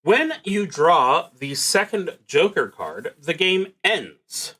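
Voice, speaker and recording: A man streaming a solo tabletop roleplaying game, narrating his play and thinking aloud with hesitant pauses. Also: a little high, big pitch swings, pushed and bright, slightly rough.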